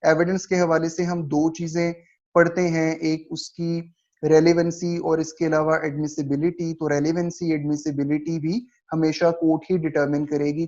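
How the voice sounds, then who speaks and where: A man's voice: on the high side, darkish tone. A man with a Pakistani accent lecturing on law in a didactic way, reading points out and explaining them. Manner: didactic, slows down markedly for read-out passages. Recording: dull lo-fi recording.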